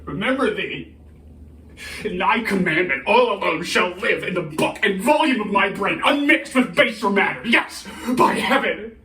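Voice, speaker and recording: A man playing a grieving, embittered prince in a theatrical Shakespearean soliloquy, halting and declamatory, moving between hushed brooding and anguished outcry. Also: sits high, expressive. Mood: shocked, fervent resolve. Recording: roomy, distant microphone, faint noise.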